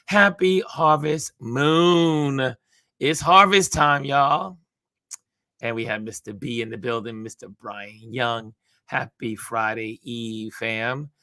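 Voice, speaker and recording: A raspy-voiced man hosts a casual live stream and reads out viewer greetings. He talks slowly, and his tone is bright and forceful. The sound is dry and close. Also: mid-range voice, dry recording. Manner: reads viewer comments aloud, upbeat shout-outs. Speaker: host.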